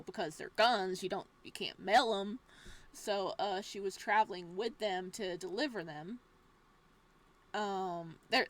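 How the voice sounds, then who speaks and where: A young woman playing a visual novel. She reads the narration softly and breaks into loud, joking asides, casual and sometimes profane. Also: medium pitch. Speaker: game streamer. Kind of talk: reading a visual novel aloud with commentary.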